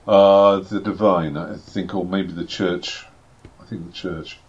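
A man with a fairly low voice chats casually as a game master, stumbling over restarts and fillers. His humour is dry and self-deprecating. The recording sounds slightly dull and lo-fi. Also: steady tone, takes it slowly, breathy edge. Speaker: game master and podcast co-host. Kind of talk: casual chat and tabletop game setup.

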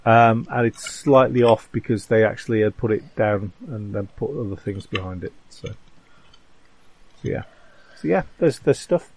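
A man running a tabletop game as game master, talking casually in a halting, rambling way. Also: fairly low pitch, low-quality recording.

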